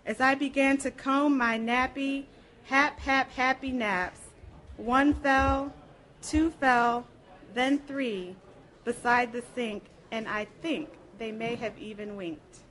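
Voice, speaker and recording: High-pitched woman reading slowly and expressively. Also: fairly even intonation, a bit bright. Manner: theatrical, rhythmic delivery. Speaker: reader at a public library program. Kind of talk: expressive live reading of poetry and memoir.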